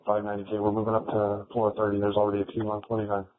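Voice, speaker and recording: Male cop, mid pitch, flat terse reporting, narrow scanner radio, slight hiss. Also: very fast. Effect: police radio. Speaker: police officer on duty.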